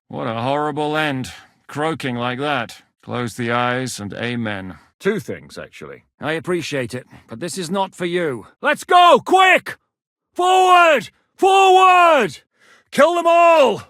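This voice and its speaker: An actor playing a gruff, blunt medieval war captain who shouts battle orders. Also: male voice, on the high side, no room sound, studio quality, heavily acted. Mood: grim, then shouting orders. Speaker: military commander. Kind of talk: game voice lines.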